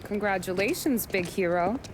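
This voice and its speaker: A woman acting a sassy young in a gangster game. Her mid-pitched voice teases with dry wit over clear background noise. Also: lively intonation, moderate pace, natural voice. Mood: teasing. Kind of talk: cinematic game dialogue. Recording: noisy room ambience.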